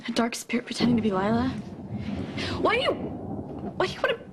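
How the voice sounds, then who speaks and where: An actress playing a cautious, uneasy friend, wary and stammering, in soap opera style. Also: female voice, fairly high pitch, huge pitch swings, fairly quick. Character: cautious, uneasy friend fearful of dark spirits. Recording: noisy ambient background.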